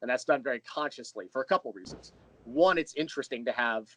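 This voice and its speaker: A man's voice: medium pitch, fast talker, fairly bright tone, rough recording. A man speaking as the guest in a casual interview, explaining his creative work in a thoughtful, rambling storyteller's way. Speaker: interview guest, audio drama creator and writer.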